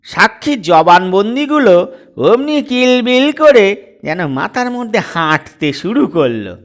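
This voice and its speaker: A man voicing a fussy, excitable old father-in-law who blusters and grumbles in a comic audio story. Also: sits high, voice pushed up, heavily acted. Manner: loud, repetitive, indignant exclamations.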